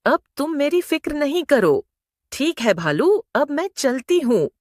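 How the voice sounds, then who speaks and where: A woman's voice: sits high, sing-song, unhurried, a little mellow, dry recording, studio quality. A woman voicing a kind, selfless mother elephant in a children's moral-story cartoon, speaking earnestly. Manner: earnest, explanatory. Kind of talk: Hindi animated moral story dialogue.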